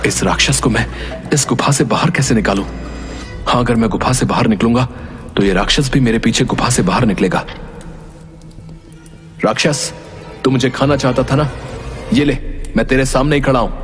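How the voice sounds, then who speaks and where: A man voicing a brave, determined young hero in an animated fairy tale, speaking in an earnest, dramatic way. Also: medium pitch, moderate pace, pauses often, faint music behind, close to an everyday voice. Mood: worried, planning, then defiant.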